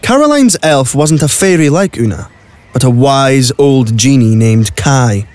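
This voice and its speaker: A man's voice: medium pitch, animated, a little slow, darkish tone, no echo, natural voice. A man narrating a scripted audio drama as the storyteller.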